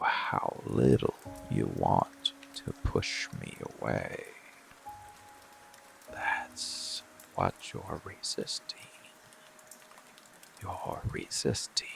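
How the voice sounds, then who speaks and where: A man voicing a seductive, possessive, protective monster lover in intimate ASMR roleplay, with a breathy, gravelly, often whispered voice and slow, halting, lingering delivery. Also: low voice, fairly bright tone, heavily acted. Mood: brooding, intimate. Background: rain and music.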